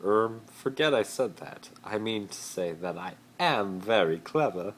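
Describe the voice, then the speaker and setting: A man's voice: lowish voice, animated, measured pace, voice pushed up. A man voicing a haughty, theatrical teenage rich boy wizard in a pompous, over-dramatic way, for a story reading recorded on a phone. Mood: grandiose. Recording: noisy amateur phone recording.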